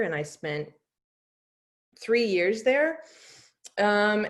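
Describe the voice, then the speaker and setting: Thoughtful lower-voiced woman guest. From United States. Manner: thoughtful, many "um"s, soft laughs. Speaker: guest, intercultural educator. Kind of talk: reflective interview answers.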